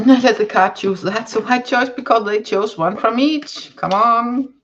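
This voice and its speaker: A woman with a Danish accent, whose first language is Danish, giving a live tarot reading to viewers and often pausing to search for words. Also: fairly high pitch, dull audio, noisy background. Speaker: tarot card reader. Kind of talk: live-stream card reading, chatting to viewers.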